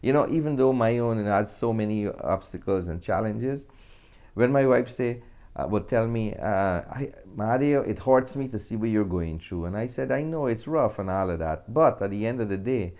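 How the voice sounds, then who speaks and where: A man's voice: fairly low pitch, lively intonation, normal speed, darkish tone. A middle-aged man with a Guyanese accent, answering reflectively in a conversational interview. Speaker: interview guest, actor and filmmaker.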